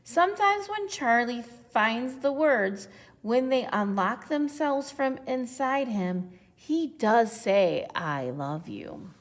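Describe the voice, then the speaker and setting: Slow-reading woman in an echoey space. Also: fairly high pitch, lively intonation. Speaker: storybook reader. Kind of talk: read-aloud of a children's picture book. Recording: echoey, long reverb.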